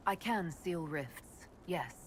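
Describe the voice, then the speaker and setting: An actress playing a resolute elven heroine in a fantasy game, speaking plainly and with firm composure. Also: female voice, lowish voice, lively intonation, slow pace, slight background noise, low-quality recording. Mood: composed. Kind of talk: video game dialogue acting.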